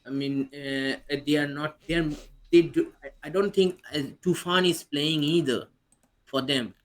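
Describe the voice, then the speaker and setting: A man's voice: on the high side, measured pace. A man co-hosting a podcast, chatting casually and eagerly about fantasy football, often restarting his sentences. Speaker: podcast co-host.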